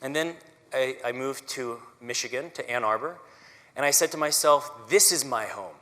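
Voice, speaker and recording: Man in his 30s, conductor giving a community talk. Earnest and chatty, bright, clear tone, long hall reverb. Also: mid-range voice, normal speed. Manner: earnest, conversational, frequent "right?" asides. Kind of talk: personal public talk. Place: auditorium stage.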